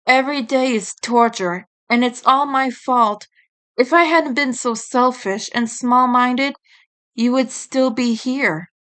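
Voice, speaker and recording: A woman voicing a grieving male fox in an audio story, downcast and halting, quick to get annoyed. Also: high-pitched, a bit bright. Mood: grieving, self-blaming.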